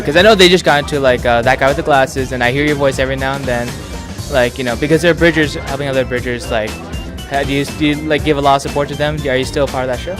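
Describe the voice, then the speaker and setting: A man interviewing someone in a loose, chatty, rambling way. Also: mid-range voice. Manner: loose, rambling questions with many "like"s. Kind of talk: casual chat.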